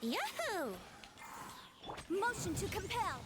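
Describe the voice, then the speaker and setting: A woman's voice: extremely high pitch, wildly swooping pitch, takes it slowly, heavy background noise, heavily acted. A woman voicing spirited young female adventurers in a fantasy video game, theatrical and exclamatory. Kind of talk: stylised video game voice acting.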